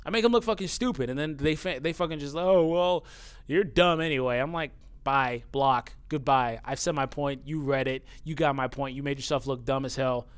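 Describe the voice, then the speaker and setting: Blunt young-sounding man with a smooth voice. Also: medium pitch, normal speed. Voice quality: youthful-sounding voice. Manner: blunt, swears often, gets heated. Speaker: YouTuber reading viewer comments. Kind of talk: casual talk to camera.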